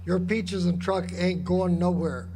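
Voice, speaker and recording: An actor playing a crooked, bullying small-town sheriff in a live radio drama, gruff, folksy and domineering. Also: male voice, high voice, fairly even intonation, measured pace, mellow. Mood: gruff, bossy. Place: live theater stage. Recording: noisy live amphitheater stage recording.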